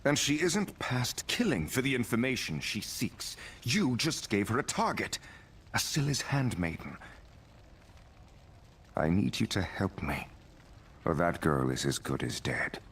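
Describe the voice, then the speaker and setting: A grave male necromancer with a low, husky voice that moves around a lot. He is blunt and urgent, in dramatic video game style. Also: sing-song, measured pace, fairly bright tone, heavily acted. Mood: grave urgency. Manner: blunt, correcting.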